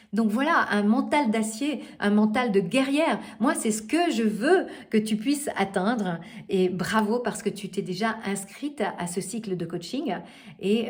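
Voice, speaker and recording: A middle-aged woman coaching her listeners in a motivational talk. She speaks earnestly but haltingly, pausing often on fillers and restarting her sentences, at an ordinary middle pitch. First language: not French. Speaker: life coach.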